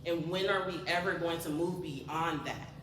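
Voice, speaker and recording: A woman giving a campaign pitch as a candidate at a community forum, speaking in a confident, emphatic way. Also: normal speed. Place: large community cultural center hall. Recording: distant voice in a reverberant hall with noise.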